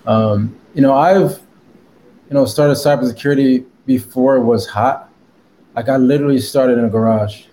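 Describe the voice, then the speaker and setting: A man's voice: medium pitch, normal speed, dark tone, slight background noise, low-quality recording. A man from Connecticut, a guest in an interview, talking casually with lots of fillers. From Connecticut, USA. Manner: rambling with many fillers and laughs.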